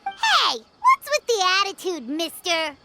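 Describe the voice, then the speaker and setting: Very high squeaky tiny creature, cheeky, excitable, wildly swooping, slow drawn-out delivery, game character. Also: female voice, loud music behind the voice, exaggerated voice. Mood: scolding. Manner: excitable, blurts and stammers. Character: tiny floating female companion creature, cheeky and chatty. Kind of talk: video game voice acting.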